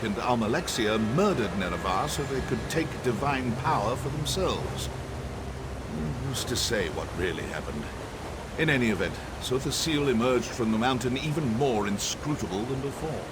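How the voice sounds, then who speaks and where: A man's voice: medium pitch, lively intonation, moderate pace, heavy background noise, natural voice. A man as an arrogant, theatrical sorcerer with swooping intonation. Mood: sardonic, musing. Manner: theatrical, condescending, dryly sardonic. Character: arrogant, cryptic elven sorcerer scholar, wry and scheming. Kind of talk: scripted video game dialogue. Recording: music under the voice.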